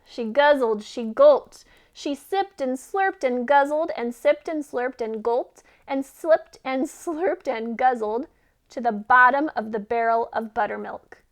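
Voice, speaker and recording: A woman's voice: sits high, slow. A woman reading a children's story aloud expressively, as a teacher who puts questions to listeners.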